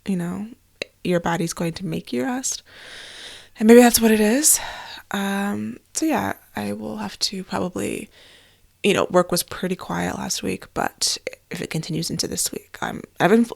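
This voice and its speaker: Woman, podcast host, casual solo chat, slightly nasal and stuffy, mid pitch, dry close sound. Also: gravelly, no echo. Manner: chatty and candid, with fillers and small laughs. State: stuffy and nasal, getting over an illness.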